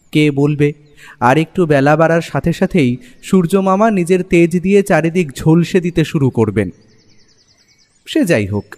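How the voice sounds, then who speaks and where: High-pitched, lively male story narrator. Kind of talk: scripted first-person story narration. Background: music.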